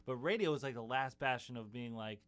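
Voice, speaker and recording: A man hosting a small radio show from a studio in Brooklyn, chatting in a relaxed, joking way and laughing often. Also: mid-range voice, brisk, clear, resonant tone. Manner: easygoing, jokey, laughs often. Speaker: radio host. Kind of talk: casual radio chat.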